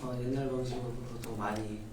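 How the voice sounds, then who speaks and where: A man, a film director, giving measured explanations at a press conference. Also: mid-range voice, little pitch movement. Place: cinema hall at a press screening. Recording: very echoey hall, distant mic, noisy.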